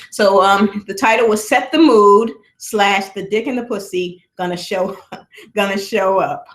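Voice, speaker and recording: Woman rambling on her live stream, kinda low voice, loud and projecting, laptop mic at home. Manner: chatty, rambling, laughs often, drinks between sentences. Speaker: vlogger hosting a live stream. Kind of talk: casual live-stream chat. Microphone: laptop built-in mic.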